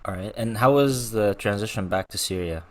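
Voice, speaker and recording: A man hosting a podcast interview, introducing guests with many hesitant pauses. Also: lowish voice. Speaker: podcast host.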